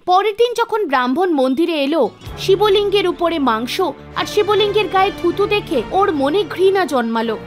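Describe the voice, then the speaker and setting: A woman's voice: very high-pitched, animated, moderate pace. A woman reading scripted narration for a devotional cartoon story. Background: background music.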